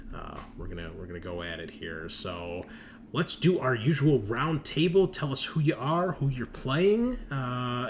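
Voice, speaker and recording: A man chatting informally and chummily as a podcast host and game master. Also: mid-range voice. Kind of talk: casual podcast chat. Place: room at a new home. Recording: clearly noisy home recording.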